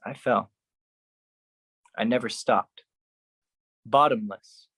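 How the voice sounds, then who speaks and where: A solo performer delivering a poetic monologue in a theatrical, deliberate way, with dramatic pauses and repetition. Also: medium pitch, fairly bright tone, slightly rough.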